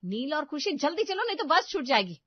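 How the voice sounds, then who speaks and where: A woman doing a hurried young mother, high-pitched. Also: very animated, dry recording. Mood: urgent. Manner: urgent, pleading. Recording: dull, muffled audio.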